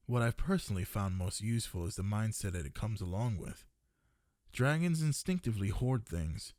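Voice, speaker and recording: Casting: male actor as smitten half-dragon librarian for ASMR roleplay; lowish voice, close dry sound, gentle, teasing, possessive, intimate. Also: dry recording, lightly acted. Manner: intimate, tender, addressing one listener with endearments. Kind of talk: ASMR boyfriend roleplay, scripted.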